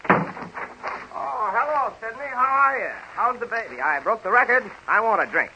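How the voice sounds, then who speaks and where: An actor playing a cocksure aviator and talent agent, breezy and insistent, in 1930s radio comedy. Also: male voice, very high-pitched, animated, unhurried, heavy background noise, full character voice. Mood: breezy, cocky. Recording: dull 1930s radio recording.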